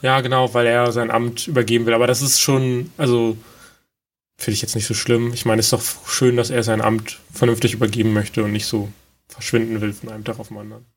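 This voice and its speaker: A man's voice: medium pitch, level tone, brisk. Podcast guy, mid voice, chatty and jokey, lots of ähms. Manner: chatty, joking, many fillers. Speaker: podcast co-host. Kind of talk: casual chat.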